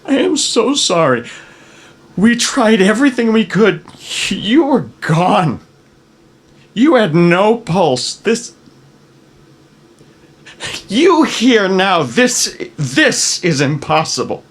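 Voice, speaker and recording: Guilty, evasive dad male actor, screenplay drama: slow delivery, halting, remorseful, pleading. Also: high-pitched, sing-song, slightly rough, full character voice. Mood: anguished, pleading. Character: guilt-ridden, evasive father hiding a terrible secret. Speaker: actor in a screenplay table read. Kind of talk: dramatic script reading.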